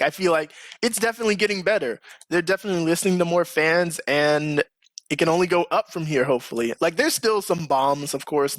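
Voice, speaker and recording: A man's voice: a little high, a bit bright, no echo. A man hosting a casual podcast chat, talking informally and with slang. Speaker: podcast host.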